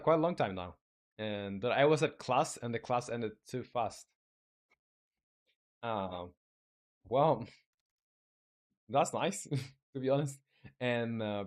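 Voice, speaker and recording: A young man from Chile, a native Spanish speaker with a Chilean accent, chatting casually on a live game-dev stream and reading viewer comments with halting restarts and fillers. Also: mid-range voice.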